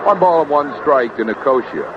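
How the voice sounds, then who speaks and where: A man's voice: on the high side, lively intonation, brisk. A man calling a baseball game as a play-by-play announcer on live radio. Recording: noisy dull vintage radio broadcast. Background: constant loud ballpark background noise.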